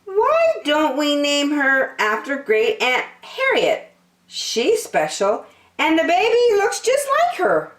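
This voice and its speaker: An eager, earnest little boy from a storybook, acted out by a woman, pitched high and full of excitable swings. He sounds sweet and impatient, in a roomy, echoing recording. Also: slow, full character voice. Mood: tender, earnest.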